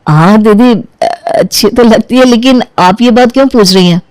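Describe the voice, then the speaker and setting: A woman's voice: on the high side, moderate pace, darkish tone, dry recording, lightly acted. A woman voicing an agreeable young man, a supportive younger brother with a polite, reassuring manner.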